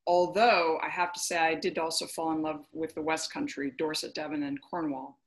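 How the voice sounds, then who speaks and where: A woman's voice: deep voice, little pitch movement, normal speed. Chatty woman hosting a podcast interview. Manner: laughs often, eager and chatty. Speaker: podcast host. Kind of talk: casual interview chat.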